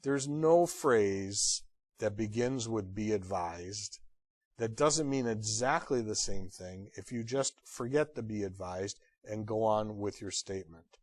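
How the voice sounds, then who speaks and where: A man giving an instructional lecture as a trainer, blunt and direct with wry humor and emphatic pauses on key phrases. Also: fairly low pitch, no room sound. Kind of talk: instructional lecture on radio procedure.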